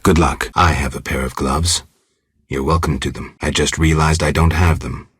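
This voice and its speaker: An extremely deep, very raspy voice for a gruff monster slayer in a video game. He speaks in clipped, deadpan phrases with dry sarcasm. The sound is close and dry, with no room to it. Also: normal speed, dry recording, exaggerated voice. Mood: laconic, deadpan. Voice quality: very husky, gravelly rasp. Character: gruff, laconic male monster hunter for hire, dryly sardonic. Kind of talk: video game dialogue acting.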